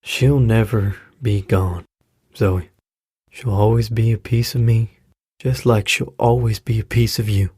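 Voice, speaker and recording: A man playing a husky, fatherly middle-aged dad. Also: fairly low pitch, fairly even intonation, measured pace, no room sound, studio quality. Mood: tender. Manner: warm, fatherly, calls her hon. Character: David, gentle grieving middle-aged father.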